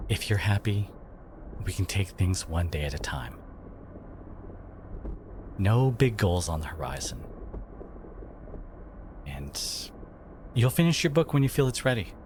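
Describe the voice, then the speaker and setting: A man playing a devoted, protective boyfriend in an intimate ASMR audio roleplay, speaking haltingly with sighs and whispers, emotional and tender. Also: on the low side, big pitch swings, noisy background. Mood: tender, reassuring. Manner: halting, sighing, slipping into breathy whispers.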